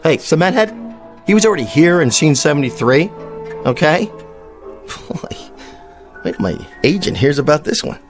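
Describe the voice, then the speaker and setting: Pompous, whiny TV cowboy star. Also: male voice, a little high, big pitch swings, unhurried, pauses often. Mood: indignant, bewildered. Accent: American. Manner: whiny, bullying, self-important. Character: pompous, petulant, vain television cowboy star, a man. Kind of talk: radio drama acting. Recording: faint music behind the voice.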